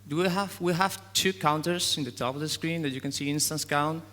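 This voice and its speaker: A man presents a game demo in careful, phrasing. His voice is bright and forceful, with long hall reverb around it. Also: fairly high pitch. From Spain. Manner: non-native phrasing, halting. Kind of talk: conference tech presentation.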